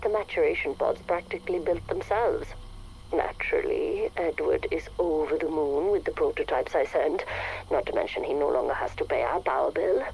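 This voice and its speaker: A haughty head scientist who is smug about her power, speaking in a very low, measured, condescending voice. It is a recorded audio log from a video game. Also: creaky, darkish tone, slight background noise, rough recording. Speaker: research director.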